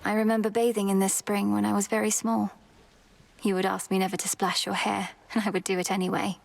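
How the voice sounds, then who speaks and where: An earnest young witch princess, polite and formal in her phrasing. She speaks at an even middle pitch with a composed, careful delivery. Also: brisk, gravelly. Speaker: game character.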